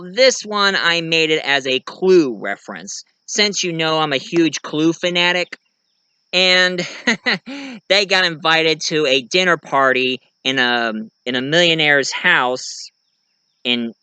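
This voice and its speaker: A man's voice: a little high, bright, forceful tone, clean tone, dry recording. A man talking casually as a video creator, telling about his own writing in a rambling, enthusiastic way. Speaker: video creator and amateur writer. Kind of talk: casual vlog talk.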